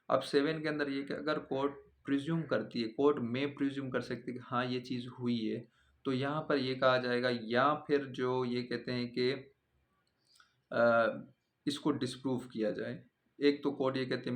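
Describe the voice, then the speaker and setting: A man's voice: medium pitch, vocal fry. Man giving an explanatory lecture. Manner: mixes English legal terms into speech, repeats points to explain. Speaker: law lecturer.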